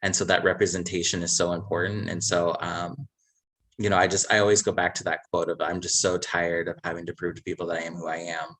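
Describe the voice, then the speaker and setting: A man from a charity speaking earnestly on a livestream, measured and pausing often. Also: deep voice, flat delivery, fairly bright tone, poor audio. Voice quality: earnest, measured, many "uh" pauses. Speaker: charity representative. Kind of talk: casual livestream chat.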